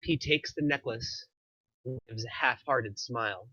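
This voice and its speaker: Bright, forceful male script narrator. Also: medium pitch, unhurried, breathy edge, no echo, muffled recording. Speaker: narrator reading stage directions. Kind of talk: scripted narration at a film table read.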